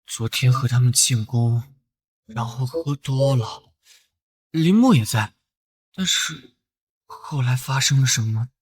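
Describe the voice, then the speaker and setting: A man voicing a flustered, cheeky young man in an animated romance drama, with theatrical, exclamatory delivery. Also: mid-range voice, takes it slowly, pauses often, slightly rough. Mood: groggy, puzzled. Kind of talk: animated drama acting with inner monologue.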